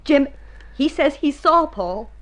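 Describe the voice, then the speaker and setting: An anxious wife speaks in a fairly high voice. She is earnest, emotional and pleading, in the style of an old radio play. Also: lively intonation, takes it slowly, fairly bright tone, full character voice. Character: anxious, distressed wife of a missing man. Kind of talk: mid-20th-century radio drama acting.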